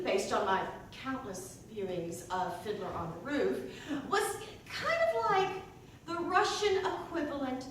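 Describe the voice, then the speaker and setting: A very echoey, distant recording of a woman acting as a wry churchgoing mother full of doubt. She delivers a theatrical comic monologue in a high, animated voice, halting and wandering off on digressions. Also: exaggerated voice. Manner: rambling, halting, digressive, self-interrupting. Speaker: actor performing a monologue. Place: indoor staged reading in a reverberant room. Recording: echoey room, distant mic, faint noise.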